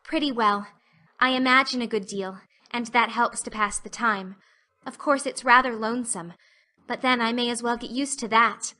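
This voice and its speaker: Woman playing an earnest, bright-voiced young girl. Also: fairly high pitch, moderate pace. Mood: wistful, earnest. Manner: plaintive, solemn, wordy. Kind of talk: dramatised audiobook acting.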